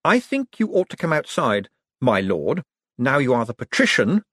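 A man voicing a quick-witted, cheerful man in an audiobook, polite, coaxing and controlled, with a wry edge. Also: on the high side, huge pitch swings, no echo, clean studio sound, voice pushed up. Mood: coaxing, deferential. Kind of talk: audiobook character acting.

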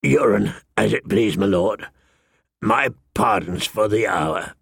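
A gruff old man of a northern watch for an audiobook. His voice is deeply creaky and husky, and he speaks slowly, with blunt, rustic deference. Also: lively intonation, a bit bright, no room sound, clean studio sound, full character voice. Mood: deferential, gruff. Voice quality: gravelly, husky. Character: gruff stooped old man of the Night's Watch, rough but respectful. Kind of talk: audiobook character dialogue.

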